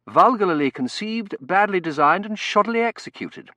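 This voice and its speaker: A man voicing an impassive, blunt manservant in an audiobook, formal, deliberate and coolly disdainful. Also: high-pitched, lively intonation, pushed and bright, dry recording, pitched above the performer's own voice. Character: impassive, blunt, deferential manservant with educated contempt. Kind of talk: audiobook character voice.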